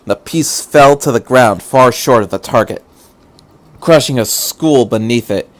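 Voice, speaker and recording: A man's voice: medium pitch, unhurried. A man reading fan fiction aloud as a narrator, with an earnest, dramatic delivery.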